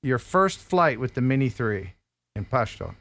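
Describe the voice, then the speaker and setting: A man's voice: mid-range voice, sing-song, measured pace, fairly bright tone, no echo. A man in his fifties hosting a video call interview, enthusiastic, exclaiming and laughing often, speaking into a headset from a home studio. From United States. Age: 50s. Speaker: host. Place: home studio room indoors.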